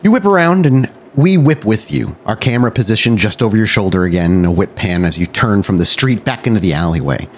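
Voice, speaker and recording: A man's voice: on the low side, animated, a little fast, few pauses, slight background noise. A man working as a game master, narrating a tabletop roleplay podcast with dramatic, cinematic scene-setting.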